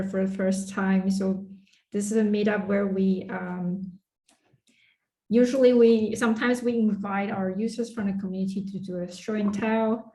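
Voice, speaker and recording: A woman with a mellow, dark-toned voice hosts an online meetup over a video call. She talks in a friendly, hesitant way, full of fillers and restarts, and her voice rings a little in an echoey room. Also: medium pitch. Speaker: meetup host.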